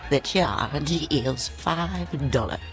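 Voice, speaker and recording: An actress playing an old fortune teller in a radio drama, solemn, mystical and grandiose, sometimes hushed. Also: female voice, low-pitched, drawn-out words, music in the background, heavily acted. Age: older. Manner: grandiose, incantatory, hushed at times.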